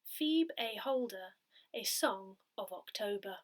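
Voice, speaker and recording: A woman reading a church newsletter aloud in a measured, carefully phrased way. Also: medium pitch, expressive. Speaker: volunteer reader of an audio newsletter.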